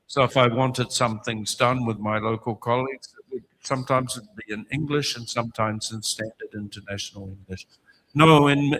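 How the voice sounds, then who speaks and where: A man with a bright, forceful voice answers questions at a book launch. He explains with wry asides and everyday examples, speaking into a handheld mic. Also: mid-range voice, a little hiss or hum, low-quality recording. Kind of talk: relaxed, joking Q&A answers. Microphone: handheld microphone.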